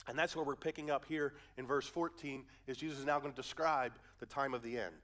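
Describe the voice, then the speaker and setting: A man's voice: mid-range voice, steady tone, a little fast, a bit bright. A male pastor preaching a conversational sermon through a head cold, asking his listeners questions as he reads and explains verses. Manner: conversational, asks the audience questions.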